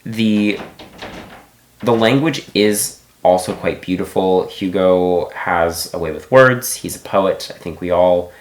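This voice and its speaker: A man's voice: low voice, takes it slowly, reverberant, a little hiss or hum. A man giving a casual book review, thinking aloud with many restarts and asides.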